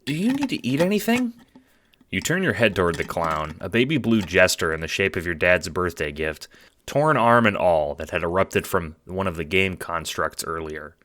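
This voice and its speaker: Male narrator, audio drama: low, bright and forceful, wry dramatic storyteller. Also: expressive, moderate pace. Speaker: narrator and producer. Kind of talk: scripted second-person audio drama narration.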